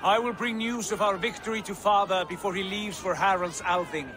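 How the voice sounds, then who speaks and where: A commanding Viking lord acted by a man in a video game. He declaims proudly in a raised, high male voice over noisy outdoor ambience. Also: fairly even intonation, brisk, close to an everyday voice. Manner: declamatory, authoritative. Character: commanding, jubilant Viking lord, elder brother.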